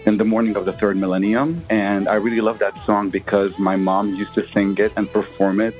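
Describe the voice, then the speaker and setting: A man from Lebanon leaves a reflective voicemail, speaking in an unhurried way with pauses and fillers. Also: lowish voice, level tone, darkish tone, faint music behind. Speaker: listener leaving a voicemail. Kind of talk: voicemail message.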